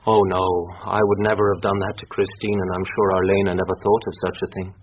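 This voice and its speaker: Guy acting as earnest british husband being questioned, lowish voice, defensive, muffled radio play. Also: monotone, a little fast, lightly acted. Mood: defensive, candid. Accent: British English. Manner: earnest, protesting, well-spoken. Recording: muffled radio broadcast, hissy with clicks.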